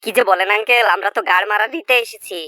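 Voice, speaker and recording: A woman's voice: medium pitch, quick pace, sharp tone, no room sound, studio quality, lightly acted. A woman voicing a cocky, greedy young male friend who boastfully rattles off exaggerations in a comedy cartoon.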